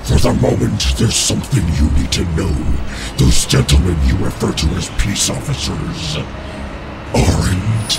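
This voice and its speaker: Brief: very deep, slow, gravelly comic-book monster antihero, menacing, over loud music. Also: male voice, lively intonation, full character voice. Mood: menacing, commanding. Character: menacing alien-suited monster antihero, speaks as we. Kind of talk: comic-book motion comic acting.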